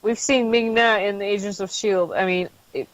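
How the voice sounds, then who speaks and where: A woman's voice: mid-range voice, steady tone, normal speed, no room sound. A woman in her fifties, a guest on a casual panel chat, telling reflective anecdotes at an easy pace. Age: 50s. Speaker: podcast guest. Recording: dull lo-fi sound.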